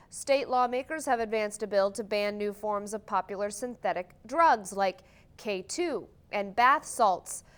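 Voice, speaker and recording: Clear, higher-pitched American woman reading news. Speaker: morning news anchor. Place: TV news studio.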